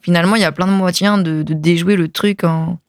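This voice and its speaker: An interviewer asking questions in a relaxed, chatty way, laughing easily. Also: mid-range voice, a little fast, no echo, clean studio sound.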